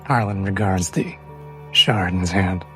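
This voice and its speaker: A man's voice: low voice, measured pace, fairly bright tone. A man player in a tabletop roleplay, speaking tersely as and about his character. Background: music.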